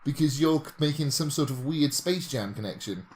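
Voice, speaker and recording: A man's voice: a little high, expressive, normal speed. A British man in casual, jokey podcast banter, rambling as he talks. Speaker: podcast co-host.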